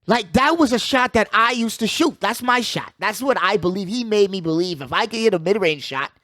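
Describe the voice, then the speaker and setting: A man with a fairly high voice hosts a casual sports show, recorded close and dry. He gives opinionated commentary in slangy, conversational language, often repeating a phrase for emphasis. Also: no room sound. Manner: slangy, conversational address to listeners.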